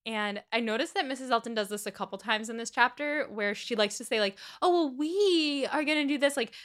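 A woman's voice: fairly high pitch, fairly quick, a bit bright. A woman co-host chatting casually as she retells a novel's plot, full of quoted asides. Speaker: podcast co-host.

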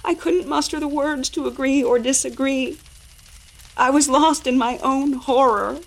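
A woman's voice: high voice, measured pace, gravelly, rough recording. A woman reading a first-person horror story as a dramatic narrator.

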